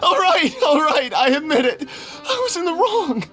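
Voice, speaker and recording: A middle-aged conniving gambler with a high voice that swings up and down dramatically. He is whiny, wheedling and theatrical, in video game style. Soft music sits behind him. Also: very animated, normal speed. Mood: wailing, pleading. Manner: melodramatic, wheedling, stammering when cornered.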